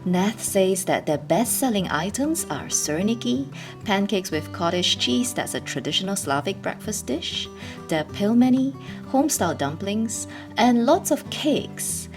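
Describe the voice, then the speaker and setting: A woman reads a scripted vlog voice-over in a smooth, explanatory style. Her pitch sits in the middle range. Guitar music plays clearly behind her. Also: lively intonation, a little slow. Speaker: narrator.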